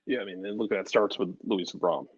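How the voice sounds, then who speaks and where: A man's voice: sits low, fairly quick, breathy edge, no room sound, muffled recording. A man co-hosting a sports show, giving casual analysis and often repeating himself as he thinks.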